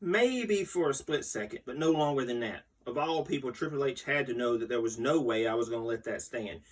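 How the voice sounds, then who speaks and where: A man's voice: mid-range voice, fairly quick. A man reading a magazine article aloud as the host, in a scripted storytelling read. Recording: distant microphone.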